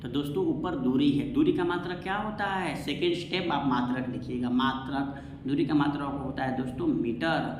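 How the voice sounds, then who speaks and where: A man's voice: fairly high pitch, normal speed. A man teaching an exam-prep science lesson, repeating himself and asking questions that he answers himself. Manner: repetitive, asks and answers own questions. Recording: echoey room, faint background noise.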